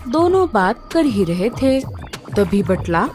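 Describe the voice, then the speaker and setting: A woman narrating a children's cartoon story in a slow, expressive storytelling manner. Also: medium pitch, faint music behind.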